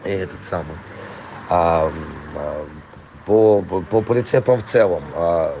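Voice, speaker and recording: A hoarse man rambling profanely in a casual voice message to a client, full of fillers. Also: deep voice, slow pace, warm tone, slight background noise, rough recording. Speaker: trailer import dealer.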